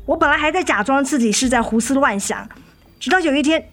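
A woman's voice: high-pitched, lively intonation, brisk. A woman acting a weary, resolute wife and mother in a radio drama, firm and reproachful with her husband. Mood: controlled, accusing. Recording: studio radio drama with music underneath.

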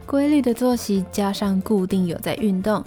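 Mellow, sensible young woman, played by an actress. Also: medium pitch, moderate pace, natural voice. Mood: reassuring. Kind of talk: scripted radio drama dialogue. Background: background music.

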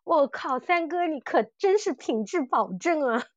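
A woman's voice: high voice, lively intonation, unhurried, no room sound, muffled recording. A woman hosting a podcast in casual fan chat, gushing and exclaiming with animation. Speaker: podcast host. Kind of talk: casual fan chat about audio dramas.

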